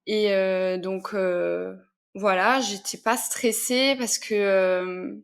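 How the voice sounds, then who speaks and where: Soft-spoken Alsatian woman. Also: mid-range voice, steady tone, vocal fry, clear, resonant tone. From Alsace, France. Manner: soft, halting, many fillers. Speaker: interview guest, mother. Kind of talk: personal testimony.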